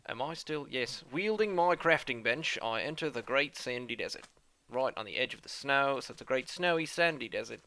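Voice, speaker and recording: A bright, slightly gravelly voice from a man doing a cheeky mock-Australian accent. He rambles playfully and mocks himself as he narrates a game, with a crisp, lively edge. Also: mid-range voice, normal speed. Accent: put-on Australian, based on a New Zealand acquaintance. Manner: playful, self-mocking, rambling. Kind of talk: let's-play commentary.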